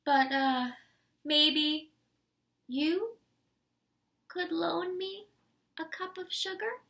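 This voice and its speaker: A woman's voice: extremely high pitch, very slow, vocal fry, sharp tone, voice pushed up, full character voice. A woman voicing a wronged male wolf in a children's read-aloud, theatrical and emphatic as he pleads his innocence. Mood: sly, pleading. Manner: theatrical, emphatic storytelling with huffs and gasps. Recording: voice some distance from the mic.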